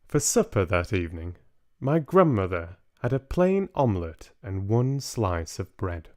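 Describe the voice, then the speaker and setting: A man in his twenties reading a children's story aloud in an expressive audiobook style. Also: on the low side, measured pace. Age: 20s. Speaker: reader and book club host. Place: small home room, indoors.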